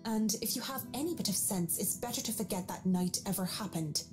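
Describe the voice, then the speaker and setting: A woman voicing a sharp-witted, principled young woman in a game, speaking in a dry, reproachful way. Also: medium pitch, animated, a little fast, over music, pitched above the performer's own voice, lightly acted. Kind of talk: visual novel character voicing.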